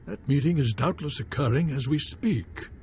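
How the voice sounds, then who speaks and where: A man's voice: mid-range voice, moderate pace, darkish tone, slight background noise, natural voice. An actor playing a pompous, cautious nobleman in a video game, formal and dismissive in manner. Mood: haughty, guarded. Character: haughty, cautious nobleman baron, dismissive husband. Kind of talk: scripted video game acting.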